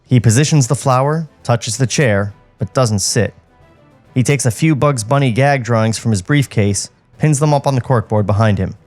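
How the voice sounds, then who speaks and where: Male podcast host, mid-pitched, scripted narration for a table read, faint music bed. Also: moderate pace, a little mellow. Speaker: podcast co-host reading stage directions. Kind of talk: screenplay narration with joking asides.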